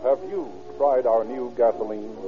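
A man's voice: mid-range voice, fairly even intonation, a little slow, narrow, tinny audio, over loud music. A man working as a radio announcer, speaking formally and emphatically in a polished 1930s broadcast style. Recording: band-limited old radio broadcast recording.

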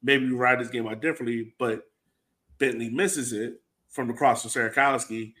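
A man with a mid-pitched voice hosts a soccer fan show. He talks casually and conversationally, leaning on filler words as he breaks down play like a supporter. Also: steady tone, normal speed, fairly bright tone. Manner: conversational, heavy use of "like" and "you know". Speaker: soccer fan podcast host. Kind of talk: casual sports talk, breaking down a match.

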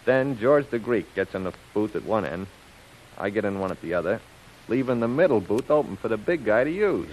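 A man's voice: on the low side, animated, a little fast, a little mellow, slight background noise, lightly acted. An actor playing a scheming, cocky gangster henchman with slangy, conspiratorial tough talk in a 1930s radio serial style. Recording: muffled old radio recording.

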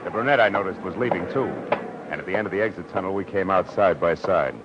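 An actor playing a hard-boiled private detective in 1940s radio style, with an American accent and a grave, sardonic, clipped delivery. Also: male voice, low-pitched, fast talker, lightly acted. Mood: wry, world-weary. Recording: noisy, muffled 1940s radio recording.

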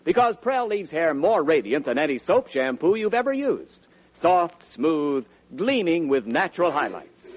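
A male American radio announcer reading scripted ads and narration in 1940s broadcast style. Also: fairly high pitch. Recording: dull vintage radio broadcast.

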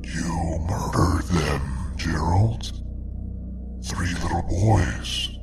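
A man voicing a grim, gloating male Reaper spirit who whispers slowly and coldly, rattling and taunting. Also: sits low, recorded from a distance, music in the background, voice pushed down, heavily acted. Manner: slow, cold, taunting.

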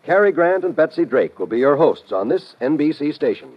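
A man's voice: a little high, sing-song, brisk. A man reading scripted promos as a 1950s network radio announcer. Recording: dull old radio recording, music behind.

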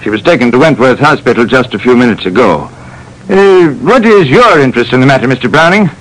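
An actor playing a sober, measured doctor in an old radio drama. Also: male voice, mid-range voice, animated, fairly bright tone, low-quality recording. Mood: grave. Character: grave, matter-of-fact male town doctor. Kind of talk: 1940s radio acting.

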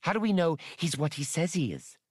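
A man's voice: medium pitch, expressive, measured pace, no room sound, clean studio sound, voice pushed up, lightly acted. A man voicing a proud, suspicious feline alien mother in an audiobook, speaking in an urgent, demanding way.

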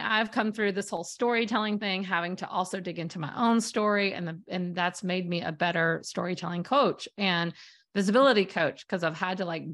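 A woman hosts an interview show in an eager, gushing way, with many fillers along the way. Her voice sits fairly low and has a gravelly, creaky edge to it. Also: fairly bright tone. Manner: enthusiastic, effusive, many fillers. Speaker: podcast host, storytelling coach. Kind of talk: conversational interview hosting.

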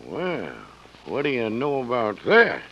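An actor playing a good-natured, plain-spoken sheriff with a rural Western American accent in an old radio western. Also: male voice, medium pitch, huge pitch swings, measured pace, fairly bright tone, exaggerated voice. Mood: puzzled, folksy. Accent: rural American West. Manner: folksy, plain-spoken, drawling. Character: folksy, good-natured small-town Old West sheriff. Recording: dull old radio recording.

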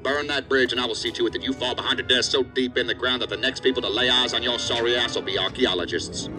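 Stern, fast-talking angry boss, acted by a man. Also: mid-range voice, fairly even intonation, sharp tone, faint music behind. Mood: angry, threatening. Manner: stern, blunt, cutting. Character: angry, threatening male assistant director scolding a subordinate. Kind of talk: science fiction audio drama acting.

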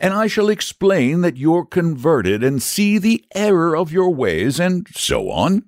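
A man's voice: a little high, wildly swooping pitch, a little slow, a little mellow, no echo, clean studio sound, pitched above the performer's own voice, exaggerated voice. A man voicing an earnest, patient, confident storybook knight who reasons in an eager, persuasive way. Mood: explaining. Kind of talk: storybook character voice.

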